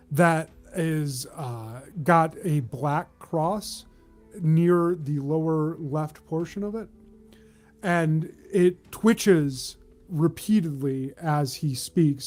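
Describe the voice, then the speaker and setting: Slow-paced man narrating, fairly high voice. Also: darkish tone. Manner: measured, descriptive, halting mid-phrase. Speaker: game master. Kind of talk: improvised tabletop game narration.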